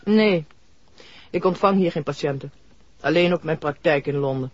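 A reserved, mysterious lady doctor in an old radio drama. Her voice is husky, her delivery formal and deliberate. The recording is close and dry, with a dulled vintage tone. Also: deep voice, no room sound, highs cut off. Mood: guarded, reflective. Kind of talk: 1960s Dutch radio play acting.

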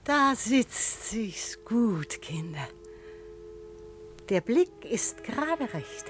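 An actress playing a wistful boat-rental woman in a lyrical radio drama, speaking in dreamy, measured phrases. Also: a little high, big pitch swings, soft background music, exaggerated voice. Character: dreamy, wistful woman who rents out boats.

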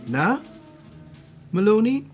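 An actor playing a stolid, officious police investigator with a matter-of-fact, deadpan manner in a detective radio play. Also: male voice, sits high, takes it slowly, husky, faint music behind, heavily acted. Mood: dry, businesslike.

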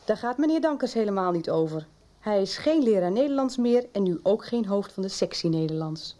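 A woman's voice: mid-range voice, lively intonation, fairly quick, dark tone, lightly acted. A woman acting in a 1990s radio soap as a kindly, encouraging literature teacher. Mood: supportive, curious.

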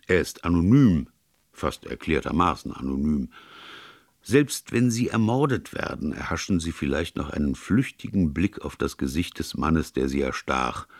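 A man voicing a calm, earnest priest detective who reasons at length in an audiobook. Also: deep voice, vocal fry, no echo, studio quality, heavily acted. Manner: even-tempered, sincere, discursive. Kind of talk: audiobook character dialogue.

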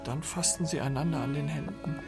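A man's voice: fairly high pitch, brisk. A man reading scripted literary narration in a radio play. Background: music.

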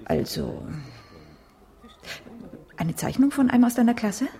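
Lively-pitched, brisk, candid woman. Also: creaky. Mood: curious, thoughtful. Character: brisk, candid woman colleague minding small children. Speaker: actor in a radio crime play. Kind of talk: 1980s radio drama dialogue.

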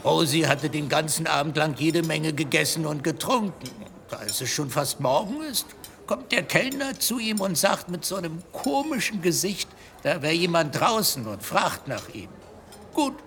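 An actor playing a chatty, shady middle-aged gambler with a sentimental streak, colloquial and theatrical in a German radio drama. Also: male voice, a little high, lively intonation, moderate pace, a bit bright. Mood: storytelling. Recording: reverberant room, faint ambient noise.

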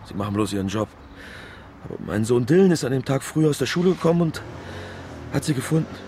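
Actor as animated, stubborn middle-aged working man. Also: mid-range voice, a little hiss or hum, lightly acted. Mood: grieving, subdued. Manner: halting, emotional, muttering to himself. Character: beleaguered widowed Welsh factory worker, stubborn father, anxiously joking. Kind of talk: naturalistic radio drama acting.

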